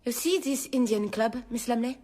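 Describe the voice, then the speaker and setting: An actress playing a stern, protective French governess, with a French accent and a commanding, precise manner in a radio drama. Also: female voice, a little high, moderate pace, dark tone, lightly acted. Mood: cold, menacing. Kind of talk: dramatised radio novel acting.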